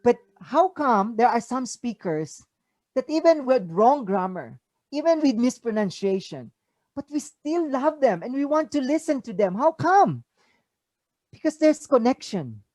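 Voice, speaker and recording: Filipino accent motivational speaker on a video call, lots of rhetorical questions, dry close sound. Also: male voice, very high voice, very animated, a little slow, darkish tone, no echo. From Philippines. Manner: rhetorical questions, emphatic rises. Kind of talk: inspirational speech on a video call. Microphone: video call mic.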